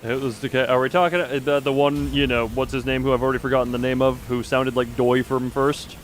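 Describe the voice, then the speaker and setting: A man's voice: mid-range voice, fairly even intonation, fast, a little hiss or hum. A man commentating live on a game stream, chatting with his viewers as he plays.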